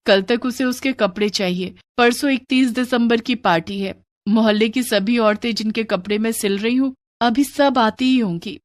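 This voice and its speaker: A woman's voice: a little high, normal speed, no echo, voice pushed up, natural voice. A woman acts out a poor seamstress mother from a moral tale. She is humble and worried, pleading earnestly with those around her. The sound is close and dry. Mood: worried, insistent. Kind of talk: Hindi moral story dramatized narration.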